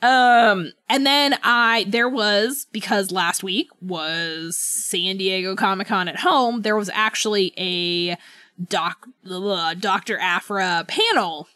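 A woman's voice: mid-range voice, slow pace, sharp tone, clean tone, no echo. Casual woman podcaster, very dry close sound. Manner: informal, rambling, peppered with "like" and "you know". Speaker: podcast co-host. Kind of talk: casual book club chat.

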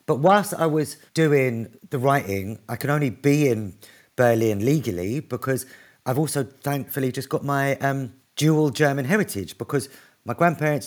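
A man speaks in an average-pitched voice with warm earnestness. He is an author answering a question at a book event. His talk is quick and emotional, full of restarts and asides. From North East London, England. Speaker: author and activist, guest.

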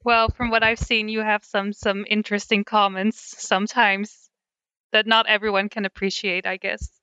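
A Dutch-accented woman co-hosts a casual podcast with a bright, crisp and smooth voice. She speaks softly and often lets her sentences fade out. The sound is dry and close. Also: mid-range voice, little pitch movement, normal speed, no room sound, poor audio. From Netherlands. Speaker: podcast co-host. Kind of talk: casual chat.

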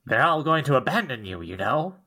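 A man's voice: medium pitch, very animated, pushed and bright. A man playing a tiny, pompous fear demon in a script table read, declaiming with grandiose menace. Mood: spiteful. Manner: slow, declamatory.

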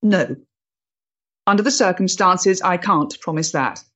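A woman's voice: lowish voice, measured pace, a bit bright, highs cut off. An actress playing a proud, intense, troubled freethinking woman of the 1890s in a period stage drama, speaking emphatically and articulately. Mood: guarded, cool.

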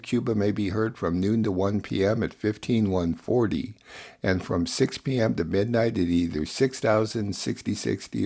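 A man's voice: on the low side, darkish tone. A man hosting a radio news digest, reading scripted announcements. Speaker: radio show host.

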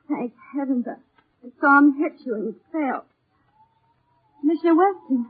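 A woman's voice: high voice, normal speed, close to an everyday voice. An actress playing an anxious, refined young woman in 1940s radio drama style. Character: anxious, refined young lady companion of a sleuth. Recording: muffled, tinny 1940s radio recording.

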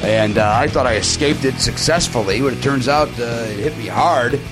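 A man hosting a casual comedy podcast, hoarse and raspy from a sore throat, bantering playfully and mocking himself. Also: mid-range voice, normal speed, over music. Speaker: podcast host.

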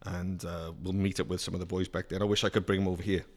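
Deep-voiced Welsh man, chatty. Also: level tone, fast. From Wales. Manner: chatty, with many ums and restarts. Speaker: guest, car dealer. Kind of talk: casual chat.

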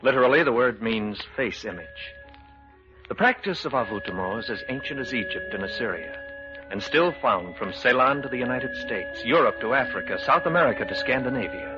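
A man's voice: medium pitch, lively intonation, brisk, close to an everyday voice. A man playing a level-headed, sceptical fisherman in an old radio drama, both narrating and acting out the tale. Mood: measured, grave narrating. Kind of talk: 1940s-50s radio acting and first-person narration. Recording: dull vintage radio recording with music.

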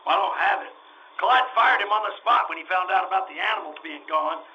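A man's voice: high voice, brisk, dull audio, slight background noise, lightly acted. A man playing a nervous, folksy, evasive but chatty small-time carnival man in old-time radio drama style, stammering and repeating words. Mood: chatty, defensive.

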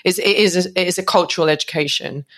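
A woman host with a notably low voice. She talks in a loose, thoughtful way, musing through her points with her guest. Her tone stays relaxed and chatty. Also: quick pace. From London. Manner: conversational, thoughtful, musing aloud. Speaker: podcast host. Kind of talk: casual interview chat.

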